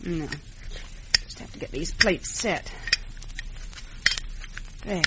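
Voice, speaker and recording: A woman's voice: fairly low pitch, takes it slowly. An actress playing a shrewd, wary female counterfeiter in an old-time radio western, with a folksy, businesslike way of talking. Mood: confident. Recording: noisy old radio recording.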